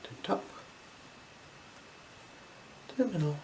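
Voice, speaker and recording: A gentle, unhurried ASMR performer speaking softly and slipping into whispers while role-playing an eye examiner. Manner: gentle, unhurried, soothing instructions. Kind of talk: ASMR role-play, soft-spoken with whispers. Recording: clear background noise.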